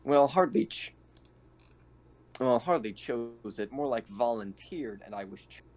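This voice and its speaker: A man's voice: mid-range voice, animated, measured pace, a little mellow. A man improvising casually as a player in a tabletop roleplaying game, in a hesitant, stumbling manner.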